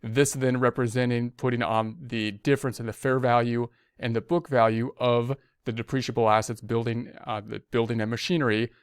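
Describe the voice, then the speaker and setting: A man's voice: mid-range voice, smooth, no room sound, clean studio sound. A man giving an informal tutorial, talking through each step conversationally and repeating himself as he goes. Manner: conversational, repetitive, talks through steps as self-directed speech. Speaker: accounting instructor. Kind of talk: informal spoken tutorial walkthrough.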